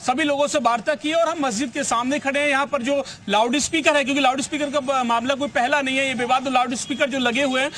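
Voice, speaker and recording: A man's voice: extremely high pitch, steady tone, quick pace, few pauses, fairly bright tone. A man reporting live from an outdoor location for television news and questioning locals. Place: outdoors in front of a mosque.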